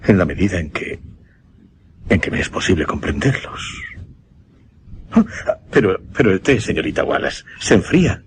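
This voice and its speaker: An actor with a Castilian accent playing a formal, courteous, grave gentleman in a radio suspense play, with deliberate and hesitant phrasing. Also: deep voice, sing-song, slight background noise. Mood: earnest, courteous. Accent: Castilian Spanish. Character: courteous, grave gentleman recounting a notorious mesmerism case.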